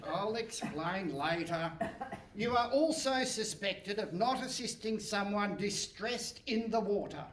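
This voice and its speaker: Slow, officious police inspector played by a man. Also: high voice, lively intonation. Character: bossy, exasperated male police inspector. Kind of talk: comic radio play acting. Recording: roomy, noisy live hall, distant mic.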